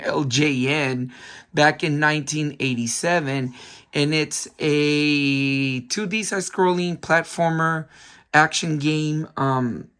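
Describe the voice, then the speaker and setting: A man with a hoarse voice, still recovering from a cold, hosting a casual game review with lots of ums and restarts. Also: a little high, a bit bright. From San Francisco Bay Area. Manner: chatty, many ums, restarts himself. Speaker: retro game collector channel host.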